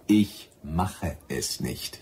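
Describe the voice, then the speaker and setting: Deep, grumbling Brazilian boatman. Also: lively intonation, extremely slow, a little mellow, a little hiss or hum, exaggerated voice. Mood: dismissive. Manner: blunt, grumbling. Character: gruff, cautious, patriotic Brazilian boatman, grudgingly won over. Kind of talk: German radio drama acting.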